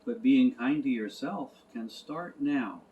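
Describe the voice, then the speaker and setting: A man acts out a little storybook mole with a kindly, wise temper. The delivery is slow, gentle and earnest, full of simple encouragement. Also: mid-range voice, dull audio, close to an everyday voice. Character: small gentle cake-loving male mole, kindly and wise.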